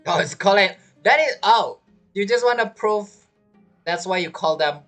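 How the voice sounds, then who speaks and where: Rambling game streamer, lively pitch. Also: normal speed, faint music behind. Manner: rambling, thinking aloud. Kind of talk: casual live gameplay commentary.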